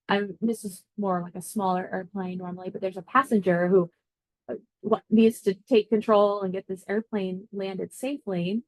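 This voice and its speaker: A woman's voice: mid-range voice, no room sound, low-quality recording. Woman explaining in a dry, close recording. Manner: explanatory, thinking aloud, conversational. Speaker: air traffic controller being interviewed. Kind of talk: informal career interview answers.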